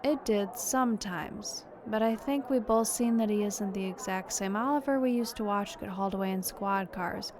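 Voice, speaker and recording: A woman's voice: medium pitch, moderate pace, hardly any pauses, lightly acted. A woman plays a candid friend in an audio drama. Her mid-range voice is frank, supportive and conversational, with faint ambient noise behind it. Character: candid, supportive female friend working in law enforcement.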